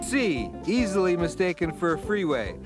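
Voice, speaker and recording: A man voicing a sardonic, lazy, food-loving cartoon cat who hosts a quiz show in a deadpan, put-upon way. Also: sits high, normal speed, loud music behind the voice. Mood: wry, deadpan. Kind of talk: cartoon voice acting.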